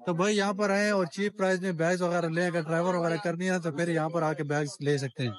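A man's voice: sits high, steady tone, quick pace, a crowd in the background. Chatty man vlogging through a bazaar. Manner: chatty, bargains with shopkeepers, addresses viewers as brothers. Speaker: travel vlogger. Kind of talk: walking-tour vlog narration. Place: outdoor street market.

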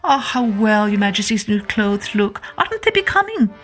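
A woman storyteller voicing gushing, exclamatory flatterers of an emperor in a storybook tale. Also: medium pitch, moderate pace, faint music behind. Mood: gushing admiration.

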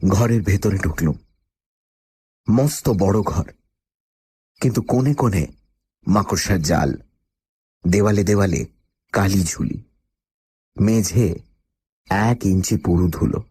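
A man's voice: low voice, slow, pauses often, dark tone, slightly rough, no room sound, heavily acted. A man playing the uneasy first-person narrator of a radio horror story, telling it in a dramatic, suspenseful way. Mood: eerie, descriptive. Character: male first-person narrator, uneasy job-seeker with a dog.

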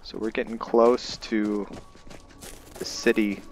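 A man's voice: lowish voice, level tone, slow pace, soft background music. A man giving casual video game commentary with some roleplay, rambling as he thinks aloud. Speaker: let's play host.

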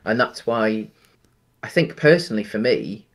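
Man, low voice, rambling film chat, restarts a lot, headset on a video call. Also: sing-song, normal speed. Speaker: podcast co-host. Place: home room on a video call.

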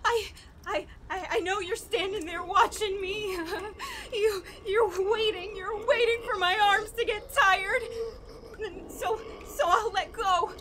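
Terrified, sobbing woman, very high-pitched. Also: steady tone, bright, forceful tone, a little hiss or hum, heavily acted. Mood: fearful, exhausted. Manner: halting, repetitive, sobbing, pleading. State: crying, panicked. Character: desperate, guilt-ridden, terrified woman pleading for her life. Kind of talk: horror radio play monologue.

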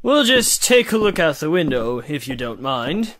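A man's voice: a little high, sing-song, normal speed, fairly bright tone, a little hiss or hum. A man acting a shrewd, confident Western lawman in a radio adventure, explaining things with assurance.

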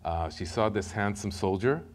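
A middle-aged man with an Israeli accent tells his life story to a church congregation, lively and conversational, often stumbling over and repeating words. His voice sits fairly low, and he speaks into a podium mic in a large sanctuary. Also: normal speed. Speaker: guest speaker. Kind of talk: personal testimony sermon.

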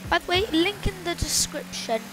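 A woman giving loud, excitable, casual commentary while playing a video game, exclaiming often. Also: very high voice, normal speed, poor audio. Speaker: gameplay commentator. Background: game music.

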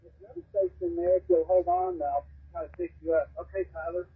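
An American man chatting casually as a radio amateur, halting and folksy, heard over a shortwave radio. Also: sits high, fairly even intonation, slightly rough. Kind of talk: casual ham radio chat. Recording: narrow, garbled shortwave radio reception. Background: radio transmission heard through a speaker.

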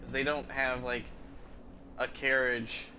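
A man's voice: medium pitch, flat delivery, takes it slowly, sharp tone, a little hiss or hum. A man giving casual, profane and easily amused commentary while streaming a game from a room at home.

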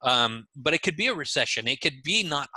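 A man in his forties makes his points with insistence, his voice very bright and edged. He speaks through a headset on a video call, and the sound is close and dry. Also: mid-range voice, very animated, fairly quick, slightly rough, no echo. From born in Israel, raised in Los Angeles. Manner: emphatic, insistent, talks with his hands. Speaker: guest, author and creativity expert. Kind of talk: animated interview conversation. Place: home room with bookshelves.

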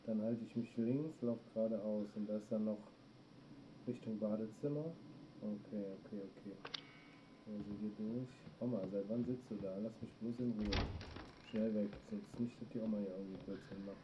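German man, middle-aged, gaming streamer, lowish voice, muffled audio with clear noise behind. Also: fairly even intonation, normal speed. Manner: talks to himself while playing, reacts to chat. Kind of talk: let's play commentary. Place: small room indoors.

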